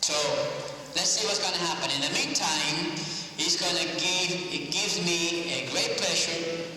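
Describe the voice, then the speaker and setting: A man acting as master of ceremonies, giving a measured, formal introduction at a school event. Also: fairly high pitch, pushed and bright. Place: large school hall. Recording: echoey hall from far off, faint hiss.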